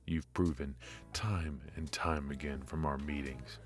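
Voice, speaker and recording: A man playing a charismatic, world-weary mafia infiltrator in an intimate ASMR roleplay, speaking in a hushed, halting, teasing way. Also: extremely low pitch, steady tone, faint music behind. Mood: teasing, probing.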